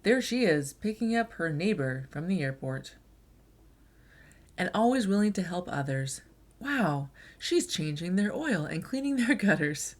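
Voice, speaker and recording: A woman reading a children's picture book aloud in an expressive storyteller's delivery. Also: lowish voice, a little slow.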